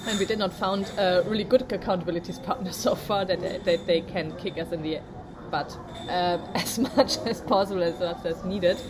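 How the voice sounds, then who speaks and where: Woman co-host with halting non-native phrasing. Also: on the low side, noisy background. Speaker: podcast co-host. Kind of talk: casual motivational chat.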